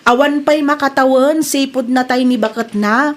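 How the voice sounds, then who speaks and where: A woman's voice: high-pitched, moderate pace, natural voice. A woman with an Ilocano accent acting a blunt young friend who mocks and teases her friend in a dismissive way. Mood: mocking.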